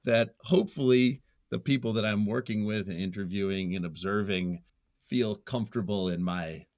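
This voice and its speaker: A man's voice: on the low side, moderate pace, a little mellow, dry recording, studio quality. A man speaking as a writer being interviewed, casual and conversational, with a goofy, self-deprecating manner. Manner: self-deprecating, goofy, chuckles often while talking. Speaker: interview guest, writer and journalist.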